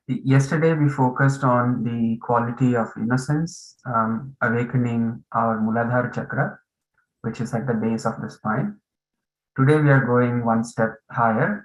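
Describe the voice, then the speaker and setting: A man of average pitch leads an online meditation session over a video call. He speaks haltingly, with frequent ums and uhs. His voice has a roomy echo around it. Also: highs cut off. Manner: hesitant, many fillers, warm welcoming tone. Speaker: meditation course host.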